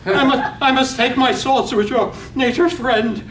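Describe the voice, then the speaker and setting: Man playing a high-voiced timid henpecked husband. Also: a little slow, fairly bright tone. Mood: timid, flustered. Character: timid henpecked second dead husband, obedient, flustered. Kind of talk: staged reading of a radio play. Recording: distant echoey room, faint noise.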